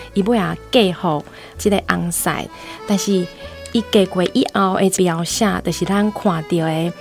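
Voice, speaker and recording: A woman's voice: medium pitch, darkish tone. A woman with a Taiwanese accent giving explanatory literary commentary as a guest professor, using frequent tag particles.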